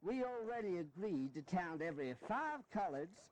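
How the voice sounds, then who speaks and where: A man's voice: high-pitched, very animated, normal speed, darkish tone, low-quality recording. An actor playing a wily, genteel statesman in a historical audio drama, drawling and folksy. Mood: coaxing. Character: genteel, wily Southern statesman defending slavery, male.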